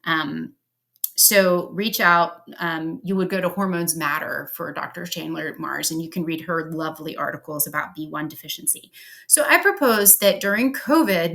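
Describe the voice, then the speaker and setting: A woman's voice: on the low side, gravelly, sharp tone. A woman from Pennsylvania giving a casual, conversational lecture on health science over slides, with frequent ums and likes. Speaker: health educator. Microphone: home recording.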